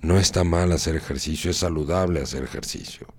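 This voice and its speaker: A man's voice: sits low, fairly quick, breathy edge. A man hosting a radio talk show, moralizing and repeating phrases for emphasis. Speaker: radio host.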